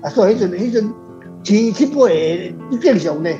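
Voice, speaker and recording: A man's voice: sits high, expressive, normal speed, music in the background. A man with a Taiwanese accent chats casually in a rambling, colloquial way, repeating his phrases, on a slightly dull recording. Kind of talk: casual chat.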